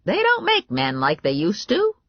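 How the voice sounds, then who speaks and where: A woman's voice: mid-range voice, wildly swooping pitch, normal speed, no echo, dull audio, pitched above the performer's own voice. A woman voicing a blunt, tactless, spry old grandmother in a comic audiobook.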